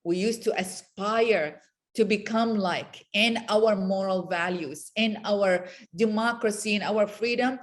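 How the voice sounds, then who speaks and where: A woman from Iraq answers as an interview guest at a very slow, deliberate pace. She is passionate and blunt, leaning hard on words and repeating them for emphasis. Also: mid-range voice. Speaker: interview guest, women's rights advocate.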